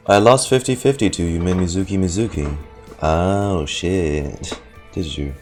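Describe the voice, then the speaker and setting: A man's voice: sits low, unhurried, a little mellow. A man streaming a game with casual, playful, sweary commentary, sometimes reading dialogue aloud. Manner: profane, playful. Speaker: gaming streamer. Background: faint game music.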